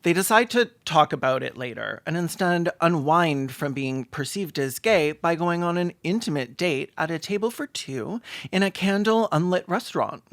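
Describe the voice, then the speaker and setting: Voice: mid-range voice, lively intonation, moderate pace. A comedic commentator reacting to videos with sarcastic, campy, playful delivery and mocking asides. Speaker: YouTube host and commentator.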